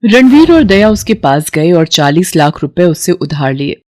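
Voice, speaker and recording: A woman's voice: fairly low pitch, sing-song, normal speed, darkish tone, dry recording, studio quality. A woman narrator telling a scripted story in a dramatic storytelling style.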